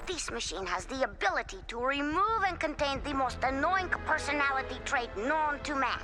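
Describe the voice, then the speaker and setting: A woman voicing an excitable, bickering child in an animated cartoon. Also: sits high, moderate pace. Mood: declaring. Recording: cartoon soundtrack with music. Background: music.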